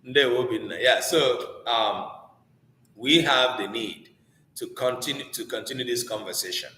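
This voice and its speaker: A man's voice: medium pitch, takes it slowly, bright, forceful tone. A man with a Nigerian Igbo accent teaching a live online class informally, earnest and exhorting, posing rhetorical questions. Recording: echoey room with long reverb.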